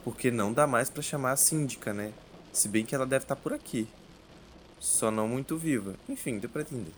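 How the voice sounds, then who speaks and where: A man's voice: mid-range voice, a little fast, a little hiss or hum, natural voice. A man playing a wry, exasperated ordinary guy in an audio drama, with a Brazilian accent and rambling colloquial speech. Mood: sheepish.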